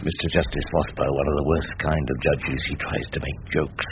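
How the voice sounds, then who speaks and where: A man's voice: extremely low pitch, flat delivery, fairly quick. A man playing an older, sardonic English defence barrister in a radio drama, theatrical and rhetorical as he narrates and cross-examines. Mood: sardonic aside. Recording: noisy, muffled old radio drama recording.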